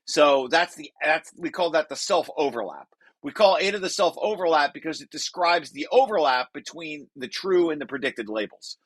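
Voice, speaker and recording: A man's voice: a little high, lively intonation, moderate pace, fairly bright tone, husky, no echo. A man reading through a technical paper draft like a lecture, explaining as he goes with informal asides and often breaking off to rephrase.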